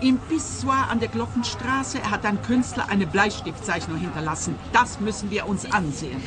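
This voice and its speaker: An actress playing a haughty, gushing art expert in a radio play, pompous and condescending. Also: female voice, medium pitch, moderate pace, close to an everyday voice. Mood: fervent.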